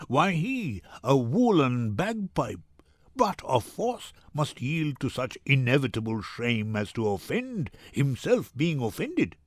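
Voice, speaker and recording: Vengeful older moneylender , played by a man: defiant, biting, unhurried Shakespearean delivery, dry sound. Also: fairly low pitch, no room sound. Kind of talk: Shakespearean dramatic reading.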